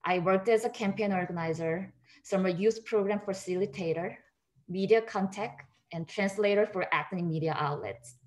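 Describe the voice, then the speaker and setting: A woman who is a native Korean speaker from South Korea gives a research talk in a measured, read style over a video call. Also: mid-range voice. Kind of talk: read academic presentation. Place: home room, video call.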